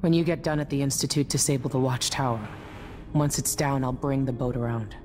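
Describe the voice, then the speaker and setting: A woman's voice: a bass voice, fairly even intonation, a little fast. An actress playing a blunt, practical woman boat captain in a video game, speaking in a dry, matter-of-fact way. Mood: steady. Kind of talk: video game cutscene dialogue.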